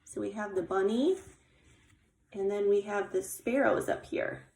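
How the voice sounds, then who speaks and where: A woman's voice: medium pitch, unhurried, vocal fry, a little mellow. A woman teacher reading a children's story aloud expressively and explaining it simply with questions. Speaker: kindergarten teacher. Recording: echoey room.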